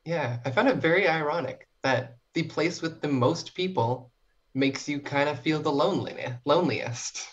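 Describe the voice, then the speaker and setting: A woman's voice: deep voice, moderate pace. Young Canadian woman, dull lo-fi audio. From small town in New Brunswick, Canada. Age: young adult. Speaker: student writer, podcast guest. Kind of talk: casual interview chat.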